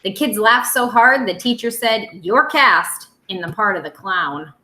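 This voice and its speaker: A woman teacher reading a picture book aloud to young children in an expressive, simple way. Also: mid-range voice, unhurried, reverberant.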